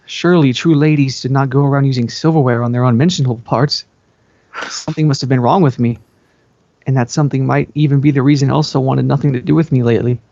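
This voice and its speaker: A man's voice: medium pitch, gravelly, warm tone. A man reading a story aloud as an amateur dramatic narrator. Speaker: fan fiction reader. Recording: dull, roomy amateur recording.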